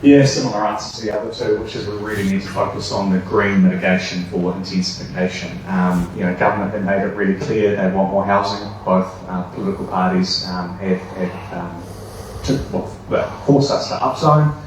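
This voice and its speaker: Deep-voiced New man, echoey hall. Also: brisk, slight background noise. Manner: earnest, stumbling over restarts. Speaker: council candidate. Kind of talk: debate answer. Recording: echoey hall, distant mic.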